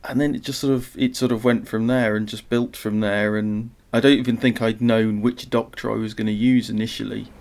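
Low, even-toned, creaky male writer. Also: fairly quick, few pauses. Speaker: short story writer. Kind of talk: casual interview talk about writing.

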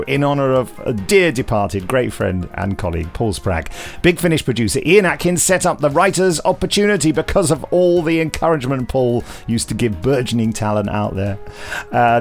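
A man hosting a podcast, moving between scripted announcements and playful, enthusiastic chat. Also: medium pitch. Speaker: podcast host.

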